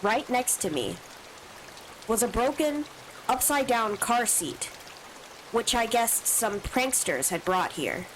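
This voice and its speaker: Slow-talking woman streamer with allergies. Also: a little high, lively intonation, slight background noise, poor audio. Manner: slow, reads first-person game text with asides. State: stuffy from allergies. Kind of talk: reading a visual novel aloud on a live stream.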